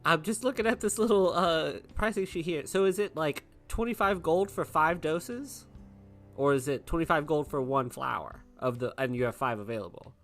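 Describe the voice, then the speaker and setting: A man's voice: on the high side, normal speed, fairly bright tone, soft background music. A man playing a tabletop roleplaying game, talking informally and improvising, often repeating his words. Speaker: player in a tabletop RPG podcast. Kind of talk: casual improvised game chat.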